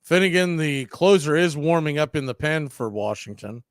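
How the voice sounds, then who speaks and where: A man calling a live baseball game on radio, chatting and telling side stories between pitches. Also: lively intonation, normal speed, smooth, dry recording, clean studio sound. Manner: chatty, tells side stories and laughs at them. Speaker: radio play-by-play announcer. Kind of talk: live baseball play-by-play with casual chat.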